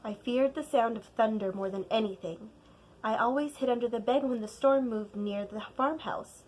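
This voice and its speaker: A woman narrating a children's storybook aloud like a teacher, deliberate and expressive, leaning on key words for drama. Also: on the high side, low-quality recording. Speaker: teacher reading a picture book aloud.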